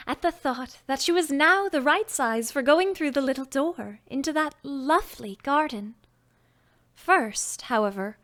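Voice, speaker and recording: A woman reading a children's classic aloud as an audiobook, gentle and expressive, sometimes dropping to a whisper. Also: high voice. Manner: gentle, expressive storytelling, drops to whispers at times. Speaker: audiobook narrator. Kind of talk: audiobook narration.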